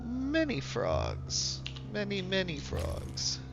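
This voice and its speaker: A man's voice: wildly swooping pitch, very slow, vocal fry. A congested man who is losing his voice, chatting casually and self-mockingly as he streams a game. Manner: low-key, rambling, self-mocking. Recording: dull lo-fi sound with music behind. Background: music.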